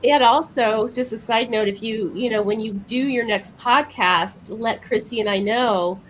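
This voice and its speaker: A woman's voice: a little high, measured pace, a little hiss or hum, rough recording. A woman chatting casually as the co-host of a podcast. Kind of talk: casual chat.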